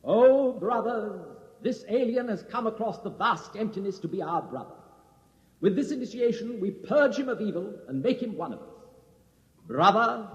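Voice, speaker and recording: A stuffy, murderously polite alien elder from a vintage radio comedy. The voice is high and husky and swings widely in pitch. The old recording sounds dull. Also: male voice, heavily acted. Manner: stuffy British gentleman phrasing, pompous. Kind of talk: 1950s radio comedy acting.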